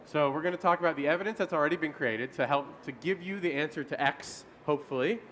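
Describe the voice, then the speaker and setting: Upbeat male workshop moderator; a touch high-pitched, faint room noise behind. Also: fairly bright tone. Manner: upbeat, polls the room with quick asides. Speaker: workshop facilitator. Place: conference room.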